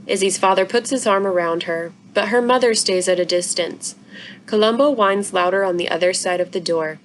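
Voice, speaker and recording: Woman reading a children's story, noisy recording. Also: mid-range voice. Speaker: library story-time reader. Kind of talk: expressive children's book read-aloud.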